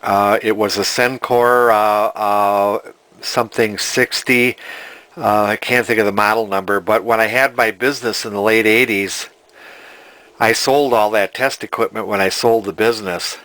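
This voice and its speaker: A man in his sixties talking casually and haltingly as he hosts a hands-on restoration vlog from his workshop. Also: lowish voice, steady tone, a bit bright, low-quality recording. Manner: halting, hesitant, rambling. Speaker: vintage TV restorer, video host. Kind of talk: casual spoken workbench vlog. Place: cluttered indoor home workshop.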